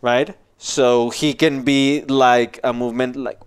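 Man, tutorial instructor, informal step-by-step explaining, crisp resonant voice, headset mic. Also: mid-range voice, level tone, slow. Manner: casual, demonstrative explaining. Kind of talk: informal software tutorial walkthrough. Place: small indoor office. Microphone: headset microphone.

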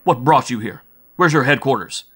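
A man's voice: medium pitch, animated, fairly quick, pitched above the performer's own voice, lightly acted. A man voicing a big, hot-headed, blustering spaceman in a story reading, blunt and boisterous. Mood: scolding. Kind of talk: audiobook character voice.

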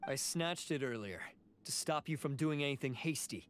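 An actor playing a cool, defiant young double agent in a video game, controlled and sardonic. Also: male voice, fairly high pitch, expressive, moderate pace, slight background noise, natural voice. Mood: coolly sardonic.

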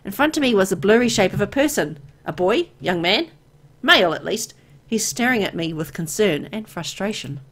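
A woman voicing an anxious, disoriented amnesiac young woman who narrates a horror visual novel in a dramatic, deliberate way. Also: mid-range voice, animated. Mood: confused.

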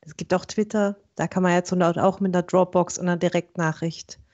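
A woman's voice: fairly low pitch, fairly even intonation, a little fast, darkish tone. A slightly muffled voice-chat line carries a woman. She chats in a casual, encouraging way as a livestream co-host. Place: room at home, on a group video call. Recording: slightly dull voice-chat sound.

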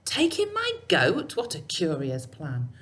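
Woman acting a little old lady, storybook character, flustered, grumbling, pleading, slow delivery, swooping pitch. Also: huge pitch swings, fairly bright tone, exaggerated voice. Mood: flustered, incredulous. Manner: exclamatory, pleading.

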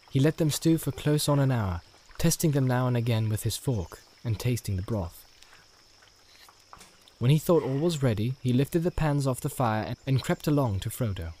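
Guy narrating an audiobook, normal pitch, scripted story reading. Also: warm tone.

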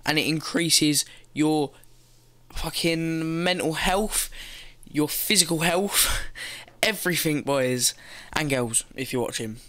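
A man's voice: on the high side, slow pace, pushed and bright. A teenage boy giving casual gym advice from his bedroom, loose and rambling, swearing now and then, talking into a gaming headset. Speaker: fitness vlogger. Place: bedroom, indoors.

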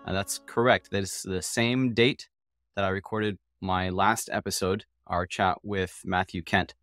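A man hosting a podcast in a casual interview style, halting and now and then stuttering. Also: sits low, fairly even intonation, fairly bright tone, no room sound, clean studio sound, faint music behind. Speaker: podcast host.

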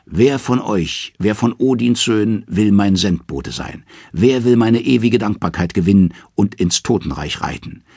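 Man voicing a defiant, husky goddess mother. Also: fairly low pitch, expressive, a little slow, hardly any pauses, a little mellow, dry recording, studio quality, voice pushed up, exaggerated voice. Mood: defiant pleading. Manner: defiant, imploring. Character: grieving, determined goddess mother, proud and defiant.

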